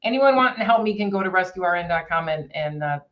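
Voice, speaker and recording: A woman's voice: lowish voice, animated, quick pace, highs cut off. A woman chatting earnestly about a fundraiser she leads, often restarting her sentences. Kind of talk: casual chat, promoting a fundraiser.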